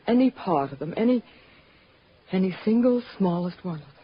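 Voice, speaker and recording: A wistful, lovelorn wife, muffled old radio. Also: female voice, mid-range voice, expressive, slightly rough. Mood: pleading, anguished. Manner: earnest, halting, emotional. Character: wistful, lovelorn married woman clinging to a lost love. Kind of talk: 1940s radio drama acting. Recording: muffled old radio recording, faint noise.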